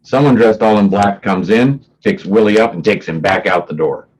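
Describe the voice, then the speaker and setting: A man's voice: lowish voice, animated, normal speed, rough recording. A man narrating stage directions for a play, reading from a script in the present tense.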